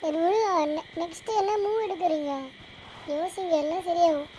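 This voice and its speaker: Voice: very high-pitched, normal speed, warm tone. A player chatting casually in character in an online roleplay game. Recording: noisy game voice chat.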